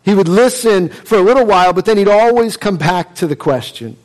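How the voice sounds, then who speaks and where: A man's voice: high-pitched, expressive, poor audio. A man playing an earnest, humble storyteller. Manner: earnest, soft-spoken, halting storytelling. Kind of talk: first-person dramatic monologue.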